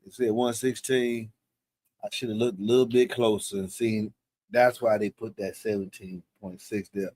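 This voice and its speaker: A man's voice: on the low side, level tone, moderate pace, a little mellow, no echo. A man in his fifties hosting a live Q&A in a loose, slangy, confident way. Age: 50s. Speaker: live stream host answering questions. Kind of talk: casual live Q&A chat.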